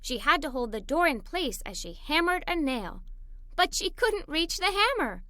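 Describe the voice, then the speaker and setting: Woman as adventurous little girl, very lively pitch swings, enthusiastic storybook narration, dry close sound. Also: moderate pace, no room sound, heavily acted. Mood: imaginative. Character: Molly, excited adventurous little girl telling her day. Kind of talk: children's read-aloud story narration.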